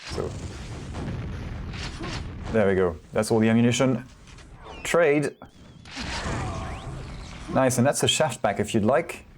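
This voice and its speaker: A man casting a competitive video game match solo, chatty and relaxed, often correcting himself. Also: medium pitch, slow, long pauses, a little hiss or hum. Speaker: esports caster. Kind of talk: solo play-by-play commentary.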